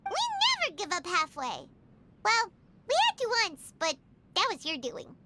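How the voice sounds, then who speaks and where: A woman's voice: very high-pitched, wildly swooping pitch, unhurried, heavy background noise, full character voice. A woman voicing a small, chatty, childlike floating fairy companion in a video game. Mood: chipper. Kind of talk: English game voice acting.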